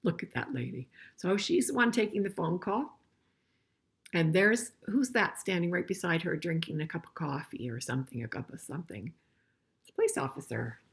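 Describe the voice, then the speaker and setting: An older woman reading a picture book aloud to children in an expressive way, pausing to chat and ask them questions. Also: fairly low pitch, breathy edge. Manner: expressive, asking listeners questions as she goes. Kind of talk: children's storybook read-aloud with conversational asides and questions.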